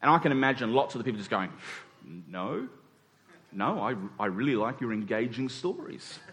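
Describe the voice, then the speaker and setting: A man's voice: medium pitch, very animated, normal speed, fairly bright tone. A man preaching a conversational sermon in an informal way, acting out dialogue in everyday words. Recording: long hall reverb.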